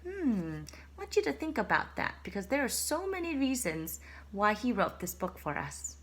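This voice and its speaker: A young woman teacher speaking to first graders about a read-aloud, gentle and encouraging, pausing to think. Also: fairly high pitch, big pitch swings. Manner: gentle, encouraging, pauses with hmm.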